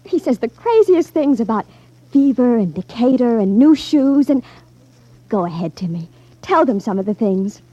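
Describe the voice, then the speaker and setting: A woman's voice: fairly high pitch, big pitch swings, moderate pace, warm tone, dry recording. An actress playing a naive, girlish sister, gushing and wide-eyed, in 1950s radio drama style. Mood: admiring, excited. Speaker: radio actor. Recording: dull 1950s radio recording.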